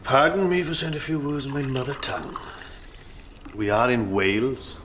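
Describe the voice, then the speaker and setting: A man's voice: mid-range voice, animated, normal speed, noisy background, natural voice. A man in a British TV drama speaking up indignantly.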